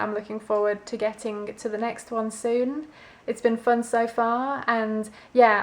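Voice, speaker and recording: A woman talking casually and conversationally as a book vlogger, in an easygoing, informal way. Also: mid-range voice. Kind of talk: casual chatty vlog about books.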